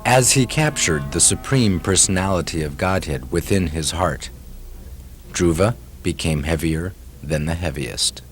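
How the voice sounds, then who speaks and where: Deep male storyteller over soft music. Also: animated, measured pace. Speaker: narrator. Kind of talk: scripted devotional storytelling narration.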